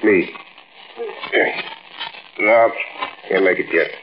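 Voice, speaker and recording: Deep-voiced robber's accomplice, vintage radio. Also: male voice, extremely slow, exaggerated voice. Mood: urgent. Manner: short, plain, informal replies. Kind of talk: 1940s radio drama acting. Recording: muffled, noisy old-time radio recording.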